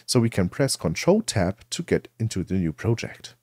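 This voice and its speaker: Low-voiced man with lively intonation. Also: normal speed, breathy edge, dry recording. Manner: explanatory, step-by-step. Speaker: tutorial presenter. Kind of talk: spoken software tutorial.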